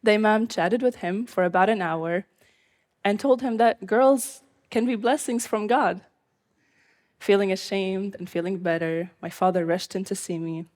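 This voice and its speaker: Young adult woman from Syria, first-person live storyteller, earnest, measured delivery, middle pitch. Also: darkish tone.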